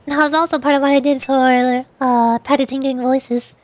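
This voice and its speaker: A woman's voice: high voice, flat delivery, normal speed, no room sound. A woman chatting casually on a livestream, rambling, repeating and correcting herself as she talks about voice acting. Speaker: streamer and amateur voice actor.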